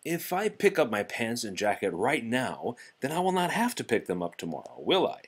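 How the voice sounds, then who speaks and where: A gloomy, lazy storybook toad, acted out by a man, complains in drawn-out, exaggerated tones. The pitch swings widely and the voice has a husky edge. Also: very animated. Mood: reasoning, hopeful.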